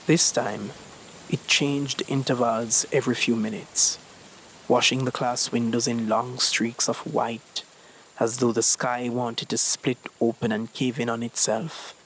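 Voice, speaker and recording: A man's voice: medium pitch, fairly even intonation, unhurried, a little hiss or hum, rough recording. A young man reading a short story as scripted narration. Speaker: story reader.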